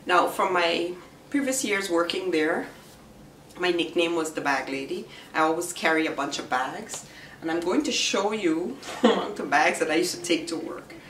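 A middle-aged woman with a slightly raspy voice from a recent virus, chatting casually as she shows what she carries to work. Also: deep voice, a bit bright. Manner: chatty, unhurried, explains each item. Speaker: vlogger. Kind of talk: casual show-and-tell vlog. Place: small room indoors. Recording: roomy, distant camera sound with faint hiss.

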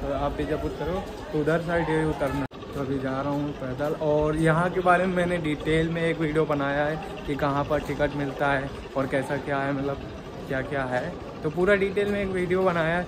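A young man from India talks casually into his phone while riding a passenger train. His voice sits a little high and he chats with his viewers like friends. Loud train and traffic noise runs under everything he says. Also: fairly even intonation, normal speed. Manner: chatty, addresses viewers as brothers. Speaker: vlogger. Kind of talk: casual travel vlog commentary. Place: on a moving passenger train and at stations. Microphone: handheld phone.

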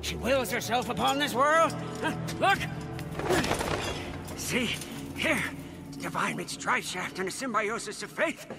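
A man's voice: high voice, animated, measured pace, vocal fry, loud music behind the voice, exaggerated voice. A man voicing a manic, devout mechanic sidekick in a video game, fawning and repeating himself with feverish preacher-like zeal. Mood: reverent, ecstatic. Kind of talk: video game character acting.

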